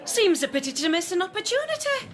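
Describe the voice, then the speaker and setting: An actress playing a frank, worldly café widow in a radio drama, blunt and teasing, with a husky voice. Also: female voice, very high voice, sing-song, fast.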